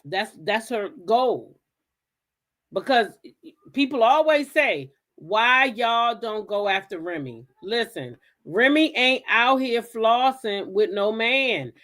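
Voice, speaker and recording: A woman's voice: on the high side, animated, slow pace, a bit bright. An American woman hosting a gossip talk show, giving blunt, emphatic commentary in a casual style and repeating phrases for effect.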